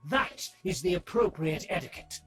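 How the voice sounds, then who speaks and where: Haughty game aristocrat: higher-pitched male, lively swoops, scornful and formal lecturing. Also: moderate pace. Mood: pompous, scolding. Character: haughty aristocratic nobleman of a declining clan, contemptuous. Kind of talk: video game voice acting. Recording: game music behind.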